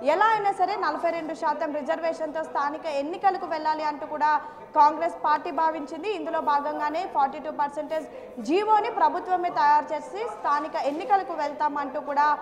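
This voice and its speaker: A female news correspondent explains developments live, linking point after point with repeated connectives. Her voice is very high, and long reverb trails it. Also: fairly quick. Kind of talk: live news report, running unscripted explanation.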